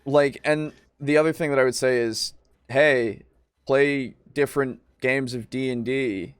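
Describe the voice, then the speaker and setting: A man of average pitch chats casually as a co-host and game master. His delivery is informal and sweary, and the sound is dry and close. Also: fairly even intonation, unhurried, clean tone, no room sound. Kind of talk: casual roleplaying discussion chat.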